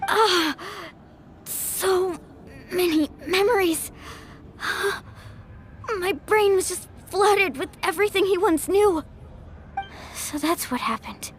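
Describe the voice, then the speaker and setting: High-voiced, earnest young game heroine. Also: female voice, slow pace, pauses often, slight background noise. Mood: earnest, overwhelmed. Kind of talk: animated fantasy game acting.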